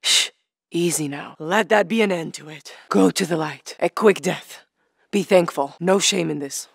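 A woman's voice: measured pace, vocal fry, slightly rough, dry recording, studio quality, heavily acted. An actress playing a tough female guerrilla fighter, barking short combat callouts in a video game. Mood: soft, soothing. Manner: barked combat callouts, then low calm asides. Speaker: game soldier character.

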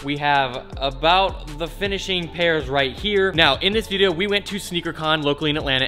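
A young man in his twenties hosts a vlog, talking fast in an energetic, chatty style. Music plays faintly behind his middle-pitched voice. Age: 20s. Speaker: YouTube vlogger, sneaker collector. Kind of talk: upbeat vlog talk to camera.

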